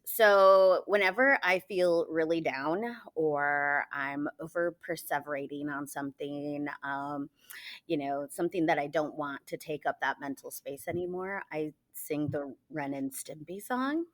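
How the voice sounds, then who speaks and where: A woman's voice: sits low, unhurried. A woman in her forties from Michigan, a teacher chatting casually as an interview guest, with lots of fillers and frequent laughter. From Michigan, USA. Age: 40s.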